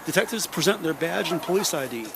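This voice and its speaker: A man's voice: fairly high pitch, animated, fast, vocal fry, background noise. A man reading scripted descriptive narration for an audio drama. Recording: music under the voice.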